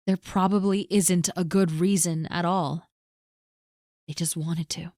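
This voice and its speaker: A woman performing a scripted audio roleplay, gently and earnestly reassuring a friend who is spoken to directly. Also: medium pitch, dry recording, studio quality.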